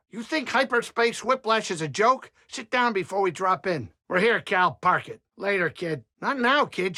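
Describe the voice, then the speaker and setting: A small-time alien starship pilot from a video game, high-voiced and bright. He grumbles and wisecracks in a folksy way, good-hearted underneath, with very lively swings of pitch in a dry, close recording. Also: no echo, exaggerated voice. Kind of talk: animated video game character dialogue.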